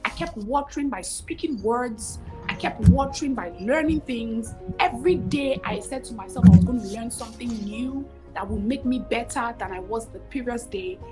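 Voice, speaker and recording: A woman in her thirties with a Nigerian accent, telling her story as a guest over a video call, expressive and repeating phrases for emphasis. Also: a little high, normal speed, few pauses, music in the background, low-quality recording. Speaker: guest, cooking show host. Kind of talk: animated storytelling interview. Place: home room with curtains, video call.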